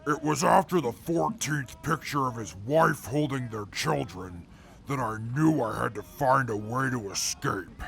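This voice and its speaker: A man playing a proud, bookish interviewer in an audio drama, speaking in a pompous, formal, measured way. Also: medium pitch, creaky, a bit bright, faint music behind, low-quality recording. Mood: weary, dry. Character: haughty, proud, bookish male radio interviewer with a temper.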